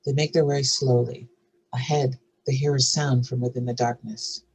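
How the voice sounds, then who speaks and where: A man's voice: mid-range voice, level tone, normal speed, a little mellow, husky, low-quality recording. A man giving scripted narration, reading out stage directions for a drama table read.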